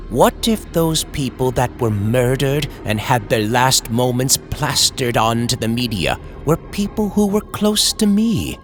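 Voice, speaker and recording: A man's voice: medium pitch, fairly bright tone. A man playing a tormented horror writer, narrating his fears in a grave, brooding, formal old-time radio style. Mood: uneasy recounting. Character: tormented male horror writer fearing demons, guilt-ridden. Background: music.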